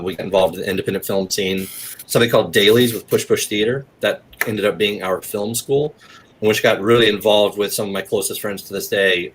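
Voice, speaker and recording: A man's voice: low voice, fairly bright tone, rough recording. An American man in his forties chatting casually and candidly as an interview guest, rambling a little. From southeastern United States. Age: 40s. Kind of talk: casual autobiographical chat.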